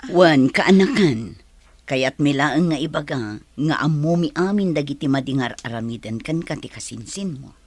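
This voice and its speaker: An actress playing a gentle, apologetic middle-aged aunt in a radio drama, soothing and motherly. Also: deep voice, lively intonation, normal speed, no room sound.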